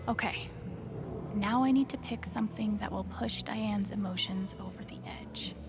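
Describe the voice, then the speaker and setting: An actress voicing a determined, perceptive young woman in a video game, slightly creaky and often dropping to a hushed murmur. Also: mid-range voice, moderate pace, natural voice. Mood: calculating. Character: determined, perceptive young woman secretly reading other people's emotions. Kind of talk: video game dialogue and inner monologue. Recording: game audio with music underneath. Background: prominent music.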